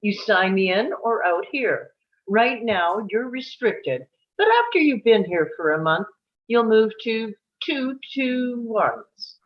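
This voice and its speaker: A woman playing a calm, matter-of-fact psychiatric nurse giving a tour in a screenplay table read, speaking in a measured way. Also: fairly high pitch, lo-fi sound.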